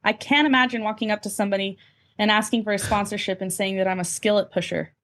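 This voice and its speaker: A woman's voice: mid-range voice, fairly even intonation, fast talker, a bit bright. A woman chatting casually as a guest, thoughtful and explanatory in how she talks. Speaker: podcast guest, amateur cornhole player. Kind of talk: casual chat.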